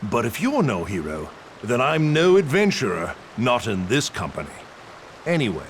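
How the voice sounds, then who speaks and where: An actor playing a proud, gracious old male knight captain in a game cutscene, speaking in a formal, measured way. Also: medium pitch, wildly swooping pitch, a little hiss or hum. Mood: modest. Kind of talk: video game cutscene voice acting.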